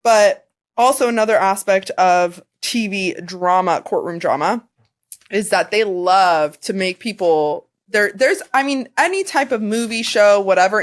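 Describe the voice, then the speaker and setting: A woman co-hosting a podcast in casual chat, agreeable and quick to laugh. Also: medium pitch. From Florida. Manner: chatty, agreeable, laughs easily. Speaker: defense attorney co-host.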